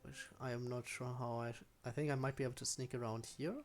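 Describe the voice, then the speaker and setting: A game streamer with a medium-pitched voice commentates live play in a casual, unscripted way. They think aloud about the next move and address the enemies directly, as in a relaxed solo stream. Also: fast, faint music behind.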